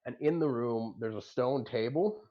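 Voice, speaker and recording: A man running a tabletop game as game master, improvising narration in a halting, explanatory way. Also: mid-range voice, dull audio.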